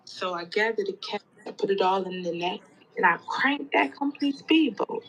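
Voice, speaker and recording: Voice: mid-range voice, lively intonation, moderate pace, fairly bright tone, lo-fi sound. An actor as a boatman telling his storm story in an audio play. The delivery is colloquial storytelling, like someone recounting it directly to a listener. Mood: earnest recounting.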